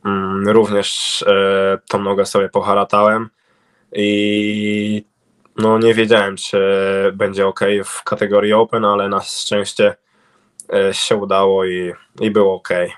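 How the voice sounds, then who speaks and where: A man's voice: fairly low pitch, monotone, a little slow, clear, resonant tone. A young man answering interview questions over a video call from a room at home, hesitant with many fillers and restarts. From Poland. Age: young adult. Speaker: interviewed speedway cycling rider. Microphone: video call webcam.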